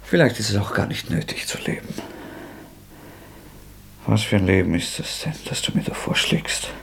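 An actor playing a tormented young murderer in a radio play, feverish and bitter, swinging between scorn and despair. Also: male voice, fairly low pitch, animated, normal speed, gravelly, a bit bright, whispered, exaggerated voice. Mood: sarcastic.

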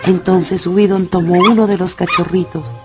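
An actress narrating an adventure novel as a storyteller in a dramatized radio audiobook. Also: female voice, fairly low pitch, fairly even intonation, normal speed, music in the background, natural voice. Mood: tender storytelling.

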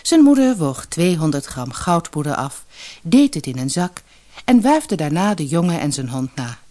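A woman's voice: low voice, very animated, normal speed, mellow. A woman narrating a scripted fairy tale as an audiobook storyteller.

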